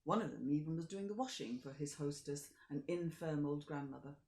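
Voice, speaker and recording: A woman actor reading a classic short story aloud in measured narration, often sinking to a soft near-whisper. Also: deep voice, reverberant. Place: home during lockdown.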